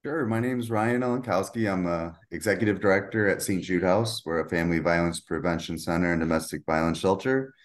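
A man with a deep, low voice speaks politely on a video call, pausing often with fillers. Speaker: panelist, shelter director. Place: home room with children nearby, video call. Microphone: webcam or computer mic on video call.

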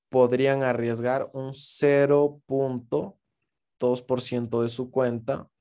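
A man talks viewers through trading charts in a relaxed, explanatory lesson. His voice sits in a middle pitch with a mellow, warm tone, and he keeps checking in with his audience as he goes. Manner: casual, explanatory, frequent "¿ok?" check-ins. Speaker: forex trading instructor. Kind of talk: screen-share trading lesson.

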